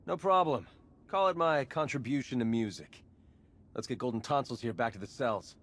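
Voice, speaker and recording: Man acting a cocky young superhero sidekick, dry sarcasm, medium pitch, brisk video game delivery. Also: a bit bright, slight background noise, natural voice. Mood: dry amusement.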